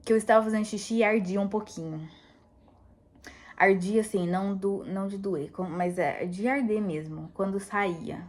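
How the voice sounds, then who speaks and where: A woman's voice: mid-range voice, fairly even intonation, normal speed. Chatty woman rambling in a casual vlog. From United States. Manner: chatty, rambling, laughs often. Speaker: vlogger. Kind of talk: casual chat.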